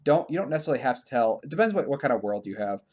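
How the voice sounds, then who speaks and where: Male podcast co-host, average pitch, loose conversational delivery, tentative and thinking aloud. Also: big pitch swings, very fast. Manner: informal, hedging, thinking out loud. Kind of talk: casual podcast chat about games and films.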